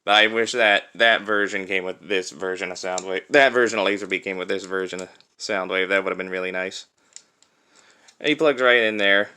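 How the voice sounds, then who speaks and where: A man's voice: low voice, moderate pace, bright, forceful tone, clean tone. A man giving a casual hands-on demonstration as a toy reviewer, explaining things step by step.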